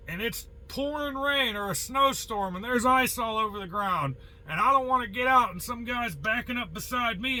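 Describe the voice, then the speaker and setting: An American man with a high, very bright and forceful voice speaks like a working trucker giving advice. He is blunt and folksy, casually opinionated, with mild cursing and questions thrown at the listener. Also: low-quality recording. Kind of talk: casual opinionated monologue to viewers.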